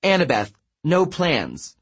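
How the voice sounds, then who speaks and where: A man's voice: a little high, wildly swooping pitch, slow pace, slightly rough, pitched above the performer's own voice, exaggerated voice. A man voicing a brave young heroine in a storybook, firm, soothing and determined. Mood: urgent resolve.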